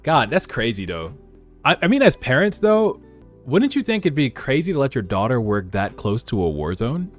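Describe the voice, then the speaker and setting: A man's voice: medium pitch, very animated, moderate pace. A man hosting a read-along, mixing narration with informal, slangy, conversational commentary. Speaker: host of a webtoon read-along. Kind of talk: casual reaction commentary mixed with narrated reading. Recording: music under the voice. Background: background music.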